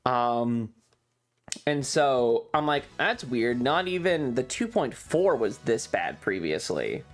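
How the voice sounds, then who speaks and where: A streamer rambling casually through a personal story in an exasperated, sweary way, with halting, repetitive phrasing. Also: mid-range voice.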